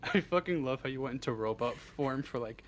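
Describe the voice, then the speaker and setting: A man's voice: mid-range voice, brisk, background noise. A man chatting casually as an interview guest, laid-back and given to swearing. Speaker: interview guest, script writer. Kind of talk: casual chat, answering interview questions.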